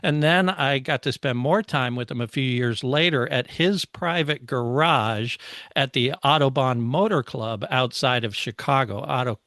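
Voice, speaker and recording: A middle-aged man tells stories as a podcast host in a casual, enthusiastic tone. His voice sits at a medium pitch, and the sound is dry with very little room in it. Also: normal speed, a bit bright, dry recording.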